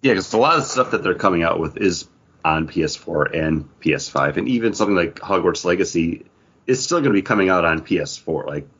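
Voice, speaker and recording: A man co-hosting a podcast, chatting in a relaxed way and sharing news items. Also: deep voice, brisk, husky. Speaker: podcast co-host. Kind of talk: casual chat. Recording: dull lo-fi sound.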